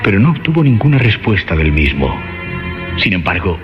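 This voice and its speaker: A man narrating a horror radio play in a theatrical, suspenseful way, with emphatic phrasing and dramatic pauses. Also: sits low, very animated. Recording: dull lo-fi audio under music. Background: dramatic music.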